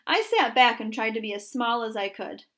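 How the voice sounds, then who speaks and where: A woman's voice: on the high side, expressive, moderate pace, fairly bright tone. A woman reading a children's story aloud in a narrating style. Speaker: storybook reader.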